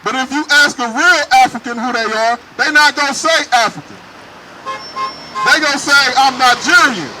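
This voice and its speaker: Male street preacher, emphatic and confrontational, raised high voice, recorded on a noisy city street. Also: animated, moderate pace. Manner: loud, emphatic, questions passersby.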